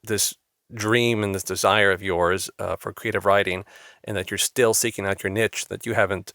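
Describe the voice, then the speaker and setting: Low-voiced upbeat male interview host. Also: fairly even intonation, moderate pace, vocal fry, sharp tone, dry recording, studio quality. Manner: upbeat and effusive, with scripted-sounding intros. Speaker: podcast host. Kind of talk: interview talk show.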